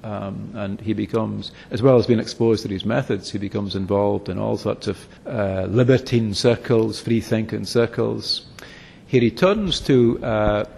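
A man, a philosophy lecturer, explaining history at length in an interview, halting often to restart and correct himself. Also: on the low side, creaky, darkish tone, a little hiss or hum. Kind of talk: explanatory academic interview answers. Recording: long reverb, echoey room.

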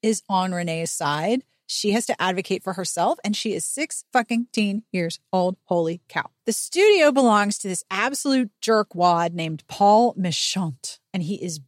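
A woman's voice: mid-range voice, expressive, unhurried, few pauses, no room sound. Emphatic American woman, dry close recording. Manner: emphatic, indignant, swears freely. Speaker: podcast host. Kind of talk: casual book recap chat.

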